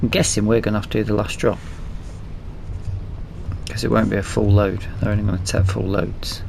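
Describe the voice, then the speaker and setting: Low-voiced man with a gravelly creak. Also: level tone, fairly quick, darkish tone, slight background noise. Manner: thinking aloud. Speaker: gaming video host. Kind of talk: casual let's play commentary.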